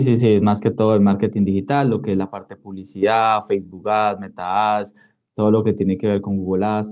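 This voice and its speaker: A man's voice: lowish voice, mellow, highs cut off. A man in his twenties with a Colombian accent, telling his own story conversationally as an interview guest. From Cali, Colombia. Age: 20s. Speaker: interview guest, digital marketing entrepreneur.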